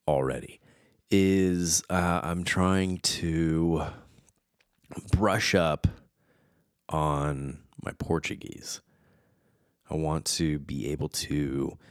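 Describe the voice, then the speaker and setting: A man's voice: sits low, no echo, clean studio sound. A man in his thirties from the United States, chatting casually and reflectively, rambling with many fillers and restarts. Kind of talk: casual chat.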